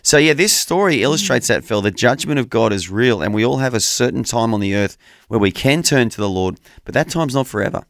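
Man, radio co-host, earnest preaching tone, medium pitch, dry close studio sound. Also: lively intonation, a little fast, gravelly, fairly bright tone, no room sound. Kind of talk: conversational Bible teaching on radio.

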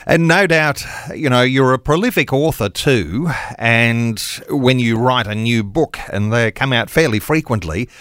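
Male radio host, mid pitch, dry close studio sound, chatty and upbeat. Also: unhurried, hardly any pauses, fairly bright tone, no room sound. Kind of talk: radio interview and announcements.

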